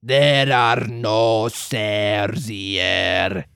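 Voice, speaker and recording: A man voicing a gruff, volatile male puppet-theatre master with a slow, hoarse growl. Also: fairly low pitch, level tone, fairly bright tone, no room sound, clean studio sound, heavily acted. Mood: curt.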